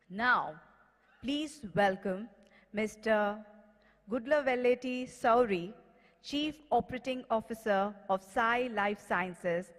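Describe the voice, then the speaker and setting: A woman in her thirties with an Indian accent, making formal scripted announcements as emcee at a conference podium. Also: fairly high pitch. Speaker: event emcee. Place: large conference hall, at podium. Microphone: podium gooseneck microphone. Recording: reverberant hall public address.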